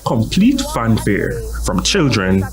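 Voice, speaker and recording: A man's voice: lowish voice, huge pitch swings, slow pace, gravelly, music in the background. A man narrating a scripted television news feature as the reporter.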